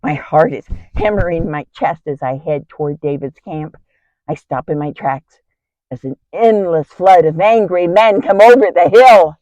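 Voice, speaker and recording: A woman's voice: low-pitched, fairly even intonation, normal speed, dark tone, no room sound, highs cut off, lightly acted. A woman playing a kind, brave biblical wife in an earnest, theatrical dramatic monologue. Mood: fearful, awed. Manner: theatrical, earnest, emphatic storytelling. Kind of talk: dramatic first-person Bible monologue.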